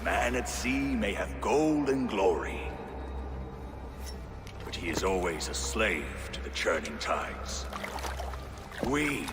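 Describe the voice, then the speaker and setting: An actor playing a bitter, grandiose villain of a secret order in a video game, with a menacing, theatrical way of speechifying. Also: male voice, low-pitched, animated, slow, long pauses. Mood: grandiose, threatening. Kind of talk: dramatic video game cutscene acting. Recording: music behind.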